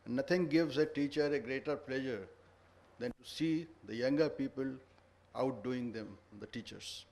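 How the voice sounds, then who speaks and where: A man's voice: mid-range voice, normal speed, a little mellow. A man giving a formal address at a conference, earnest and lecturing, stressing each point. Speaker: conference speaker. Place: conference hall.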